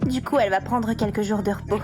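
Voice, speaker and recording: A woman in an anime fan dub voicing a lively, friendly teenage schoolgirl. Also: mid-range voice, fast, over music, lightly acted. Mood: cheerful, relieved.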